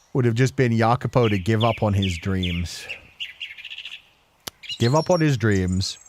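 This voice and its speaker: Deep-voiced man giving casual game commentary. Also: darkish tone, a little hiss or hum. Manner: informal, swearing, rambling analysis with acted-out paraphrases. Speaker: streamer commenting on a story game. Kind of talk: casual, emotional play-through commentary.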